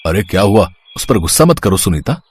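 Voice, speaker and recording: A man voicing a gentle, patient young father who explains soothingly in a children's moral story. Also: low-pitched, very animated, a little fast, husky, exaggerated voice. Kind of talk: animated Hindi moral story dialogue.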